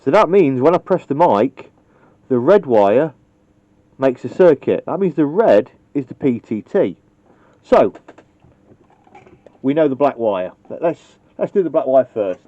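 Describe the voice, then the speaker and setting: A man's voice: mid-range voice, big pitch swings, a little slow, long pauses, mellow, dry recording. A man casually demonstrating how to wire a radio, thinking aloud and joking at his own expense. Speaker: CB radio technician. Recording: dull lo-fi recording.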